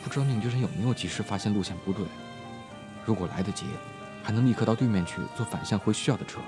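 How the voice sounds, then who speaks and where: A man's voice: lowish voice, fast, over music, close to an everyday voice. A young man acting in a Chinese audio drama as a brash, impatient but protective schoolboy with a blunt, slangy way of talking. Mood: worried.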